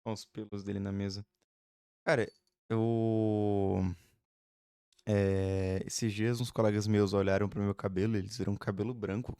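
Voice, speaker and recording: A man's voice: lowish voice, flat delivery, moderate pace, warm tone, smooth, no echo, studio quality. A man in his early twenties, chatting casually and rambling with lots of fillers in a home vlog. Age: 20s. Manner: rambling, chatty, frequent fillers and tag questions. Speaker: vlogger. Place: home room.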